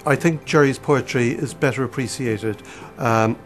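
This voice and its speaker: A man's voice: mid-range voice, moderate pace. A man giving a reflective documentary interview, with frequent ums between his thoughts.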